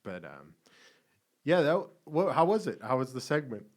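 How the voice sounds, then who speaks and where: A man's voice: mid-range voice, very animated, normal speed. A man co-hosting a casual podcast over a headset from a room at home, joking, laughing often and swearing. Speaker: podcast co-host. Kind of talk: casual chat. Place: home room, separate from co-host.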